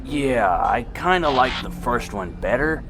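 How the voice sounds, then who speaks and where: A man voices a wry, skeptical robot who answers everything with dry sarcasm. He keeps an even middle pitch, with faint music underneath. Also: a little slow, close to an everyday voice, poor audio. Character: wry, skeptical male robot, laid-back. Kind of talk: fan audio drama acting.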